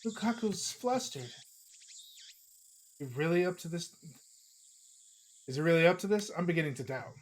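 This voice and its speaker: A hoarse man streaming, reading a visual novel aloud in a casual, chatty way with small slips. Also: fairly high pitch, lively intonation, normal speed, long pauses. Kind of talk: narrating a visual novel live.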